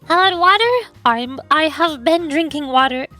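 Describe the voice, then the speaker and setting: Hoarse young woman, high voice, rambling stream chatter, faint music behind. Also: expressive, normal speed, bright, forceful tone. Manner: rambling, self-pitying banter with fillers. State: losing her voice, hoarse. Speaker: VTuber streamer. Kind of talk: casual game stream chat.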